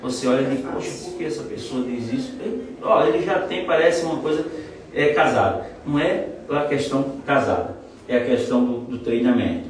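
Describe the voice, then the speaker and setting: A man's voice: mid-range voice, brisk, slight background noise. Man, 40s, self-assured, chatty explainer, distant mic, echoey room. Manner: expansive, self-assured explaining with frequent tag questions. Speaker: jury trial lawyer and mentor. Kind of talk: casual interview chat.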